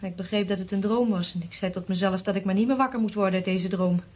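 An actress playing a feverish, frightened wife in a radio play, speaking intensely in a husky, breathy voice. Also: female voice, mid-range voice, steady tone, a little mellow, natural voice. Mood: dreamy, haunted. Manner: intense, halting recounting and insistent questioning. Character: feverish, frightened wife, haunted by bleak dreams.

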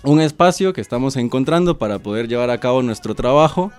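A man's voice: medium pitch, lively intonation, fast, a little mellow, clean tone, faint music behind. A man from Costa Rica chatting casually as a radio interview guest, colloquial, modest and a little hesitant. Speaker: interview guest, web series maker.

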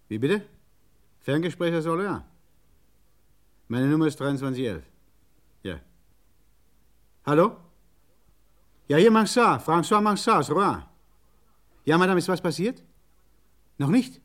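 An actor playing an excitable, blunt garage mechanic on the telephone in an old radio crime play, blustering yet polite. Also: male voice, a little high, measured pace, long pauses. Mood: hurried, attentive.

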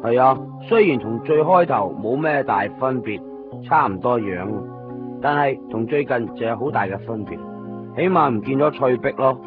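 An actor playing an excitable, anxious young man in a 1990s Cantonese radio drama, speaking colloquial Hong Kong Cantonese as he lives and narrates his story. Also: medium pitch, moderate pace, natural voice. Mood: uneasy narration. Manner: colloquial, expressive. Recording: dull 1990s radio recording, loud music behind. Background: music.

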